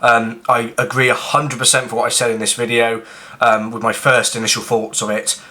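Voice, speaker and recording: A man who is sick, giving a quick, informal aside about a camera with lots of fillers. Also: mid-range voice, little pitch movement, sharp tone. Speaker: camera reviewer. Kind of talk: casual recorded aside.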